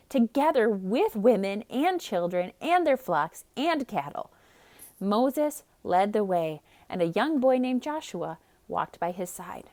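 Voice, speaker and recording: A woman storyteller reading a picture book aloud for children in an expressive, dramatic style. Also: on the high side. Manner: expressive, dramatic, with hushed emphasis. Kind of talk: theatrical read-aloud narration with casual asides.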